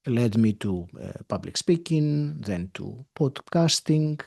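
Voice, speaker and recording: A man in his fifties from Greece with a Greek accent, speaking English as a second language, answering a podcast interviewer in a thoughtful way with many pauses and fillers. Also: medium pitch, lively intonation, vocal fry, warm tone. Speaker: podcast guest. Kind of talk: interview conversation.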